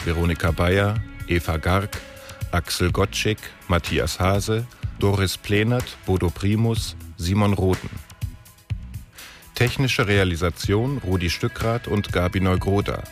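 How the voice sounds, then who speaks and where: Deep-voiced male credits announcer. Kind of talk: reading radio drama credits.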